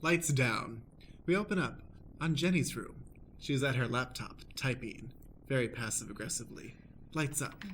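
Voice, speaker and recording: A man narrates stage directions for an improvised radio play as its stage manager. His voice sits at a medium pitch. A faint noise is audible behind him. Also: moderate pace, a bit bright.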